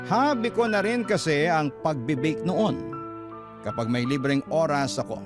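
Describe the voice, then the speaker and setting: Highish-voiced Filipino male narrator over music. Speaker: radio drama narrator. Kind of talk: scripted dramatic narration of a listener's letter.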